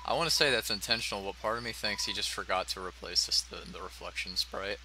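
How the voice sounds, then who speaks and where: Bright, low-pitched man doing game commentary. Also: quick pace, slight background noise, poor audio. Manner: sweary, self-deprecating. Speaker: let's player. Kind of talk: casual gameplay commentary.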